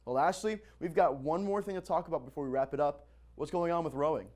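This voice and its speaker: Young male student anchor, upbeat scripted sports news, slightly high voice. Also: quick pace, a little mellow. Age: young adult.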